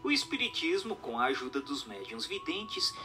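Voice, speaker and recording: Brazilian guy doing audiobook style narration, crisp forward bright tone, lots of melody, music behind him. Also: medium pitch, sing-song, moderate pace, slight background noise. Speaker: narrator reading a book aloud. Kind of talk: scripted narration, audiobook reading. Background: background music.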